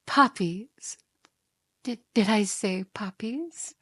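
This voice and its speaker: A woman's voice: medium pitch, drawn-out words, fairly bright tone, slightly rough, dry recording, studio quality, full character voice. A woman playing a seductive, mocking yandere demon in an ASMR roleplay, coaxing and theatrical, often slipping into whispers.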